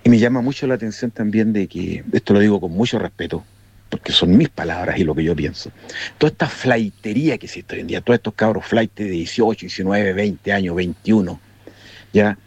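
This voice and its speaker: A man's voice: lowish voice, fairly quick, darkish tone, husky, no room sound, highs cut off. A man with a Chilean accent giving his opinion informally to a show, in a colloquial, emphatic and repetitive way.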